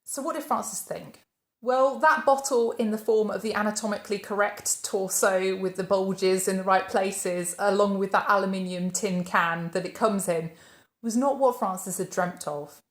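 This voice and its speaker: A woman narrates a biography for her channel. She tells it as a story and keeps posing questions that she answers herself. Her voice sits at a middle pitch and carries the echo of a roomy space. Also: fairly even intonation, moderate pace. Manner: storytelling, poses questions then answers them. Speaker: channel host.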